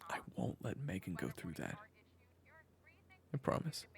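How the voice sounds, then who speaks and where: A man acts out a reformed criminal turned protective family man in an audio drama. His voice is low and creaky, swinging widely in pitch. He sounds weary and halting, in both narration and dialogue. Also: wildly swooping pitch, whispered, exaggerated voice. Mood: conflicted, reassuring. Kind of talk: audio roleplay acting with first-person narration.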